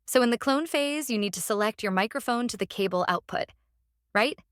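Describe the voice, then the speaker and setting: A woman narrating a casual software tutorial, explaining step by step in a halting way and repeating phrases. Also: a little high, animated, clean tone, no room sound, studio quality. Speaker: tutorial presenter.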